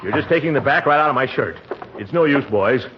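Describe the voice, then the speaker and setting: Man acting stout pompous uncle, American, flustered bluster, very animated pitch, vintage radio comedy, muffled noisy recording. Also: a little fast, close to an everyday voice. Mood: exasperated. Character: pompous, blustering, exasperated middle-aged uncle, stout and vain.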